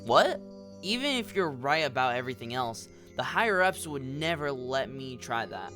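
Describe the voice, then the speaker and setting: A man's voice: mid-range voice, very animated, moderate pace, pushed and bright, soft background music. A man playing a meek, deferential demoted underling in an audio drama, hesitant and polite. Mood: disbelieving. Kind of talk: scripted audio drama acting.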